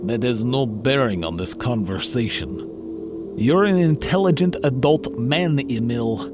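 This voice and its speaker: A man's voice: mid-range voice, lively intonation, over music, close to an everyday voice. A man playing a stern, commanding super-soldier team leader who threatens coldly. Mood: menacing. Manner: cold, slow, threatening.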